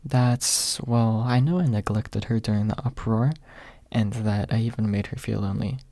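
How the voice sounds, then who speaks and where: A man's voice: on the low side, steady tone, normal speed, warm tone, no echo, lightly acted. A man voicing a flustered, protesting young male hero in a fantasy audiobook.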